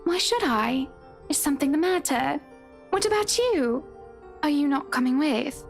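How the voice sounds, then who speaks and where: A woman's voice: high voice, animated, unhurried, soft background music, voice pushed up. A woman voicing an eerie, amused wife, a menacing spirit in disguise, with formal, coy phrasing in a visual novel. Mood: coy, taunting.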